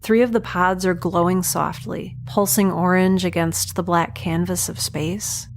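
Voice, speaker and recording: A woman's voice: lowish voice, little pitch movement, a little slow, a little hiss or hum. A woman with a wry, sarcastic way of talking, hosting and voicing her own audio drama. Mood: awed. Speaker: podcast creator and lead voice actor. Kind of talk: audio drama acting and sponsor read.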